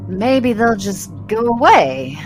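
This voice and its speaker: Casting: female actor as anxious mystery suspect, theatrical, very slow and animated, music behind. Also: fairly high pitch, low-quality recording. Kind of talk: comic audio drama acting.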